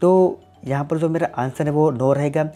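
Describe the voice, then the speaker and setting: A man, answers viewer questions on his tech channel in a plain, explanatory way, leaning on "definitely" and "simply". His voice is warm and mellow. Faint music plays underneath. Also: fairly high pitch, moderate pace. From Gujarat, India. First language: Gujarati.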